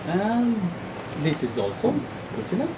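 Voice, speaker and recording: Croatian visitor, halting english, mid voice, loud noise behind. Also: medium pitch, very animated, recorded from a distance. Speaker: visitor presenting a gift.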